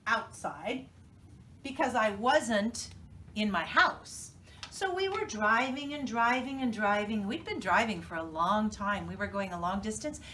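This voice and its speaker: Female preschool teacher, American, unhurried and highly animated storytelling for little learners, heard slightly away from the mic. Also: far from the mic, slight background noise. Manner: instructional, question-and-prompt delivery for children. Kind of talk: reading lesson and storytelling for young children.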